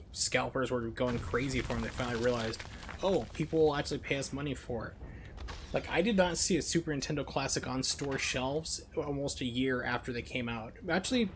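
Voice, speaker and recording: Opinionated male game streamer over noisy background. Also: mid-range voice. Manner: opinionated, emphatic. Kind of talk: casual live-stream commentary while playing.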